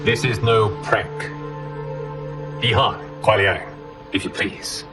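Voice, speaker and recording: An actor playing a calm, stately god of fire in a video game, with formal, measured and grave speech. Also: male voice, on the low side, over music. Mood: composed, authoritative. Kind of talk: cinematic video game acting.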